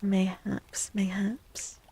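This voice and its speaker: Female ASMR streamer, soft and breathy with plenty of vocal fry, unhurried, slipping into whispers. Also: mid-range voice, level tone. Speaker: live streamer doing tarot readings for chat.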